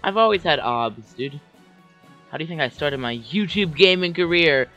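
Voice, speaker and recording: High, shrieky young gamer man. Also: animated, moderate pace, muffled recording, faint music behind. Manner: yelling and screeching at the game, silly mock accents. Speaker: streamer playing a war strategy game. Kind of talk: excitable gaming commentary. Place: home room at a desk.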